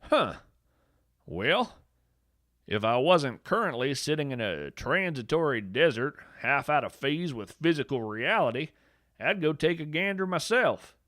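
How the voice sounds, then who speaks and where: Guy doing a folksy creature-loving naturalist, whimsical podcast storyteller, super animated, really dry sound. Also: on the high side, a little slow, no echo. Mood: earnest. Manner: folksy, whimsical, earnest turns of phrase. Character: folksy, enthusiastic male field naturalist of fantastical creatures. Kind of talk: first-person storytelling, fiction podcast monologue.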